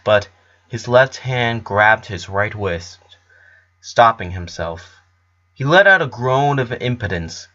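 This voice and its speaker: Bright, forceful male story narrator. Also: medium pitch, lo-fi sound.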